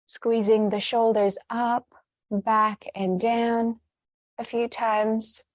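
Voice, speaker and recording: A woman leading a guided meditation with stretches, speaking in a gentle, soothing, unhurried manner. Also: on the high side, flat delivery, dry recording, muffled recording.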